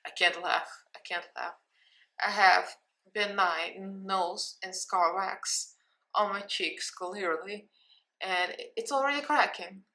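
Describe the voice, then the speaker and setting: A woman with a Russian accent chats casually while hosting a makeup tutorial. Her voice sits at a middling pitch, and she talks openly, teasing herself as she goes. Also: slow pace, pushed and bright. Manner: chatty, self-mocking, candid. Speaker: makeup vlogger.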